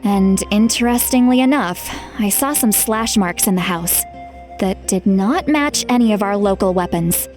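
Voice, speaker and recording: A woman playing a responsible, frank young female leader in a video game, speaking in a measured, earnest way. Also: medium pitch, a little mellow, faint music behind, close to an everyday voice, rough recording. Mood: earnest, concerned.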